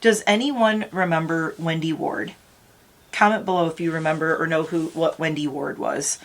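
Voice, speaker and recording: Middle-aged female beauty vlogger, lowish voice with a touch of morning rasp, rambling casual chat. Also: steady tone, normal speed. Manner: rambling, chatty, talks straight to viewers. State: slightly raspy morning voice. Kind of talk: casual makeup tutorial chat.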